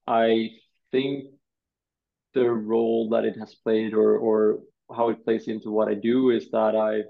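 A Swedish man in his thirties, a designer answering interview questions in a thoughtful, halting way, often repeating words. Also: lowish voice, flat delivery, creaky, narrow, tinny audio. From Sweden.

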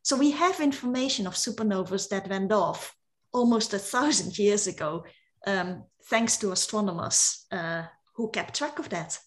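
A woman from the Netherlands, an astronomer explaining supernovas in a relaxed, enthusiastic way during an online call. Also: mid-range voice, moderate pace. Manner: enthusiastic, explanatory, some restarts. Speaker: astronomer guest.

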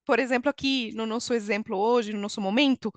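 A woman's voice: a little high, fairly even intonation, brisk, dry recording. A middle-aged woman with a Venezuelan Spanish accent, explaining as a podcast guest. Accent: Venezuelan Spanish accent in Portuguese. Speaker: guest, HR consultant and coach. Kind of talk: explanatory podcast interview talk.

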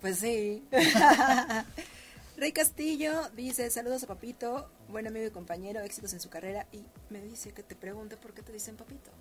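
A Mexican woman hosting a live interview with a chatty, interjecting way of talking. Her voice sits somewhat high for a woman. She keeps things moving with quick asides and prompts. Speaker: radio show host.

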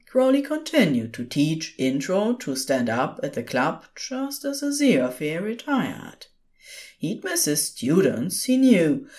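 A woman narrating scripted audio fiction as a storyteller, with an expressive, measured delivery. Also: mid-range voice.

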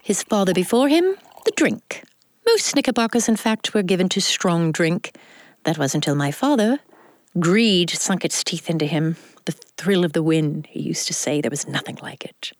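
A woman's voice: fairly low pitch, expressive, normal speed, no echo, clean studio sound, lightly acted. Woman playing a flamboyant rich old widow, swooping delivery. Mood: flirtatious, indulgent. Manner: theatrical, grand, flirtatious drawl. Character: flamboyant, lusty, vengeful wealthy widow in her seventies. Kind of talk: audio drama acting.

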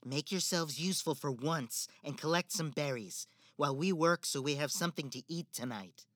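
A woman's voice: deep voice, normal speed, a bit bright, dry recording, studio quality. A woman playing a cold, manipulative stepmother in a spoken fairy-tale drama. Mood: bossy. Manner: manipulative, icy, theatrical.

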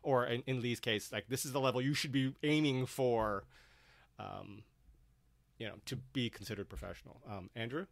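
Guy hosting a livestream panel, mid pitch, dry close sound, just chatting with guests. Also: normal speed, vocal fry, no room sound. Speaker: host and panel moderator. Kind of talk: live-streamed panel discussion.

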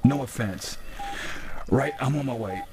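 A man playing a glib, cold-blooded traitor in an audio drama, casual and flippant even when threatening. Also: medium pitch, unhurried, loud music behind the voice, close to an everyday voice. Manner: casual, flippant apologies while menacing.

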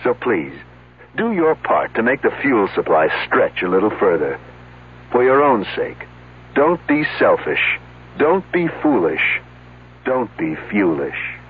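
A man reading a 1970s radio public service announcement in an earnest, emphatic, measured way. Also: mid-range voice, sing-song, husky. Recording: muffled, narrow old radio broadcast.

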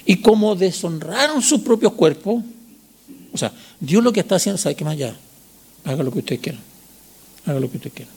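Husky Chilean preacher in his sixties. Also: high voice, lively intonation, moderate pace, pauses often, darkish tone. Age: 60s. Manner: didactic, emphatic repetition, rhetorical check questions. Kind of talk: sermon with Bible reading and commentary.

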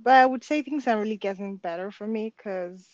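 A woman's voice: mid-range voice, fairly quick, a little mellow, no room sound, lo-fi sound. A young woman from Nigeria, a podcast guest chatting casually and friendly, often laughing, with filler words. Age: young adult. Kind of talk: casual chat.

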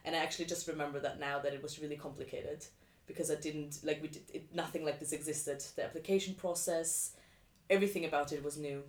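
Low woman, echoey room. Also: steady tone, quick pace. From Vienna, Austria. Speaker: interview guest, dancer. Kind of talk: casual chat, telling personal experiences. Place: living room.

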